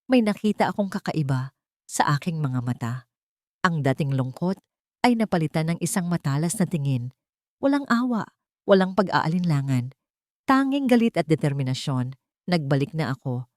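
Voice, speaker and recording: A woman's voice: low voice, sing-song, normal speed, pauses often, a little mellow, dry recording, studio quality. A woman narrating a dramatic first-person story as an audiobook.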